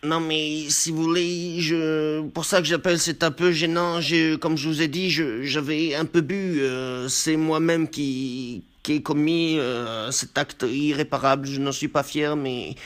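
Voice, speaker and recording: A man playing an embarrassed, formal truck driver on a deadpan prank call, halting and over-polite as he confesses. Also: fairly high pitch, clear, resonant tone. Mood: sheepish, halting. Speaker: prank caller.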